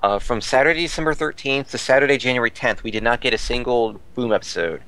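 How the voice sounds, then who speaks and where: A man's voice: medium pitch, fast talker, bright, forceful tone. A man is talking in a casual podcast discussion. He explains things thoroughly and reports details as he goes. He often digresses to add context before returning to his point. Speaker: podcast panelist.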